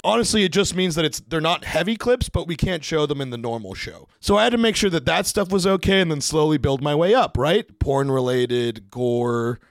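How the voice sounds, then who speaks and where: A man telling work stories in a casual, animated way, swearing freely and acting out the conversations as he goes. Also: on the high side, no room sound. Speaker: podcast studio staff member telling work anecdotes. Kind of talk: casual storytelling to camera.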